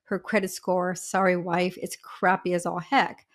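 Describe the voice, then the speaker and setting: A woman with a slightly husky voice from allergies, a family law attorney explaining the law on a podcast with chatty everyday examples. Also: lowish voice, level tone, a little slow.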